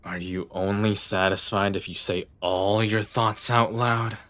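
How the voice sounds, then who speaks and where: A man playing a gloomy, reclusive young private detective in an anime dub, lethargic and deadpan. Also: on the low side, unhurried. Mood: dry, weary.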